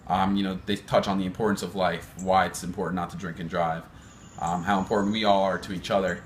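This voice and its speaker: A young fraternity member speaking earnestly and haltingly in an interview. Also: male voice, deep voice, level tone, a little hiss or hum.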